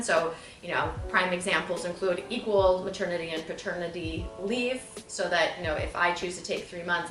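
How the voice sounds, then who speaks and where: Lower-voiced woman in an echoey room. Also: a bit bright. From born in Bulgaria, grew up in Southern California. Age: 30s. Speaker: interview guest. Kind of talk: conversational interview answers. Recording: roomy echo, distant mic, faint music.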